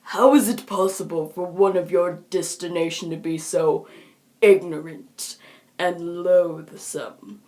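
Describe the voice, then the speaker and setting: A woman's voice: lowish voice, darkish tone. A woman voicing a haughty, pompous young troll aristocrat with theatrical delivery. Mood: disgusted. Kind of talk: fan character voice acting reel.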